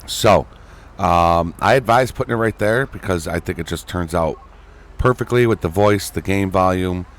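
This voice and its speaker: A man's voice: sits low, moderate pace. A man hosting a casual gaming tutorial, talking in a folksy way straight to his viewers. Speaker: host, gaming tutorial creator. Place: indoors at a gaming computer, voice over screen recording.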